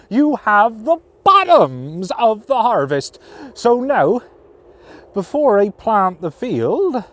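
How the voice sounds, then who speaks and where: A man playing a quick-witted, polite but nervous farmer in a storybook tale, courteous and theatrical. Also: very high voice, dry recording, voice pushed up, full character voice. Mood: sly, cheerful. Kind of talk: storybook character voice.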